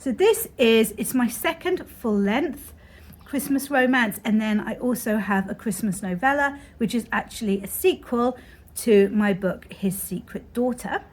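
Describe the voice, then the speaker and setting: A young woman talks casually to her camera, her voice slightly croaky as she gets over being unwell. She chats on in a rambling way with plenty of ums and likes, pitched a touch higher than most. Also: measured pace. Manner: chatty, rambling with fillers. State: croaky from illness. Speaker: author vlogger. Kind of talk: casual vlog talk to camera.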